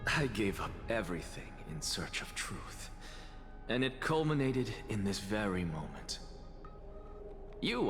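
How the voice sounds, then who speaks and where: A man voicing a sardonic, weary, dying scholar in a video game, speaking in a deliberate, haughty and theatrical way. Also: fairly low pitch. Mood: cold, cutting. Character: sardonic, dying one-eyed male scholar, weary and candid. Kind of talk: scripted game voice acting. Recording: long hall reverb, loud music.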